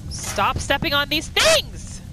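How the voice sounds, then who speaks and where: High-pitched, expressive woman gamer. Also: slow, music in the background, poor audio. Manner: expressive, exclamatory, dramatizing. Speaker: gaming video host. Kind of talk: roleplay game commentary, narrating character in third person.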